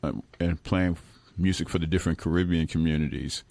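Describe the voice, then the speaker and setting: Very deep-voiced man in a dry studio. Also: brisk, creaky, a little mellow, no echo. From Brooklyn, New York. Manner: rambling, self-correcting, chuckles now and then. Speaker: guest musician. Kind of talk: relaxed radio interview talk. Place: radio studio.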